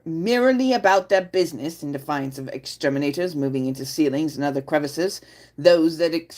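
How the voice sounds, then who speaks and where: Playful woman streamer with a lively voice. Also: fairly low pitch. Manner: playful, laughs often, reads chat aloud. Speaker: livestream host. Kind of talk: casual livestream chat.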